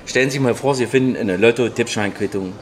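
A man acting as an opinionated interviewee in a radio play. He lectures colloquially in a medium-pitched voice, pressing his points and checking that he is being followed. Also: fast, natural voice. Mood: didactic. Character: opinionated male interviewee lecturing on moral dilemmas. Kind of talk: mock interview explanation.

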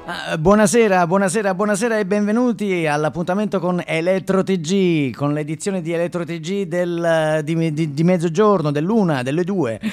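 A man's voice: high-pitched, moderate pace, hardly any pauses, a little mellow, faint music behind. A man playing a bumbling, upbeat TV news anchor in a stage monologue, announcing emphatically with repeats and stumbles. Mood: bright, eager. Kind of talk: mock TV newscast in a stage monologue.

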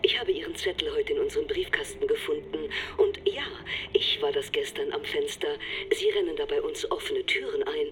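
An actress in a radio play voicing a friendly, composed neighbour leaving a businesslike phone message. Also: female voice, low voice, a little hiss or hum. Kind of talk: naturalistic radio drama acting.

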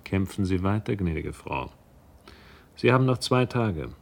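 An actor playing a mocking, menacing adversary in a radio drama, with a gravelly voice and sardonic courtesy. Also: male voice, sits low, lively intonation, a little slow, full character voice. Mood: mocking menace. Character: smooth-tongued, mocking, menacing male adversary. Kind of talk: German radio drama acting.

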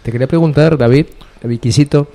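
Radio host guy, mid-pitched voice, friendly, keeps tacking tag questions onto what he says. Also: fast talker, warm tone. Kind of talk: casual radio interview.

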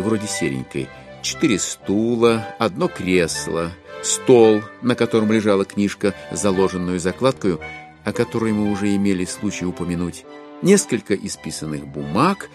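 Deep, expressive male storyteller over music. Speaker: narrator. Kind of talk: dramatised literary narration.

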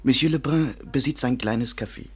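An actor playing a lovesick, self-pitying French waiter with theatrical, mournful delivery in a 1950s German radio play. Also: male voice, mid-range voice, moderate pace, slightly rough. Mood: mournful, despairing. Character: lovesick, melodramatic French waiter and hobby painter, a man.

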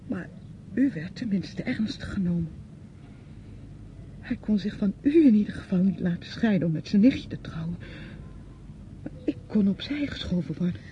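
Radio drama, muffled with faint rumble: actress plays reproachful younger mistress, high voice, theatrical, lingering delivery. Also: female voice, animated, normal speed, long pauses, lightly acted. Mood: bitter, grieving. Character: bitter younger woman, grieving mistress taunting her aunt.

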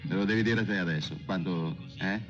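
An irritated, evasive husband in a domestic film quarrel, throwing back quick, curt, repeated retorts. The sound is muffled, with loud music behind him. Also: male voice, sits low. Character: irritated, evasive working-class husband, bickering. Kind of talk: naturalistic film dialogue.

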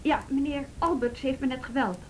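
High-voiced policewoman in a radio play, acted by a woman. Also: fairly quick, close to an everyday voice. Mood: brisk, hesitant. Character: female juvenile police officer, conscientious, plain-spoken, a little wry. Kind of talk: Dutch radio drama acting. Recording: noisy radio play recording with rumble.

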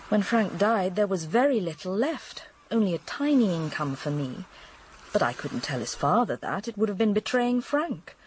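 Actress as a confiding English widow, faint noise. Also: female voice, medium pitch, a little mellow, natural voice. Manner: confiding, matter-of-fact. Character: candid, world-weary English widow, quietly resigned. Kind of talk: radio drama acting.

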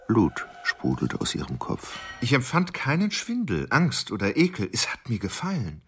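An actor playing an obsessive, tormented middle-aged murderer, confessing in a volatile radio drama monologue broken by chanted single words. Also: male voice, sits low, gravelly, slight background noise, full character voice. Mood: cold, exultant.

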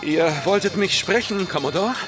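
A man's voice: sits high, normal speed, natural voice. A man acting a nervous, deferential subordinate naval officer in an audio drama, speaking hesitantly. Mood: hesitant, formal. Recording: music behind the voice.

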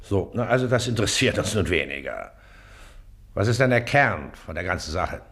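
An actor in a German radio play, playing a pompous, impatient and condescending district official. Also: male voice, low voice, sing-song, normal speed, a bit bright, heavily acted. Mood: impatient, curt. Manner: officious, condescending, easily irritated.

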